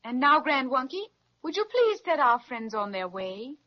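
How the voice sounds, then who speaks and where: A woman's voice: sits high, sing-song, normal speed. Kindly regal queen, courteous, lo-fi 1930s radio. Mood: gracious. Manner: stately, courteous. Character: gracious, kindly fairy queen. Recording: dull lo-fi 1930s radio recording.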